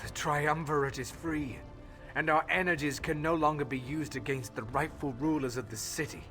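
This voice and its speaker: An actor playing a solemn elven spirit in a video game, speaking formally and gravely. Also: male voice, on the high side, fairly even intonation, moderate pace, over music, close to an everyday voice. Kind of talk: video game quest dialogue.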